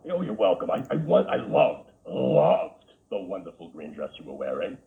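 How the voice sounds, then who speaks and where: A man's voice: lowish voice, huge pitch swings, moderate pace, creaky, full character voice. A man playing a flamboyant, theatrical male talk-show host with a Boston accent, gushing and calling everyone darling. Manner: gushing, calls everyone darling, camp asides. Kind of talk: comedic character interview. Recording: tinny phone-like line.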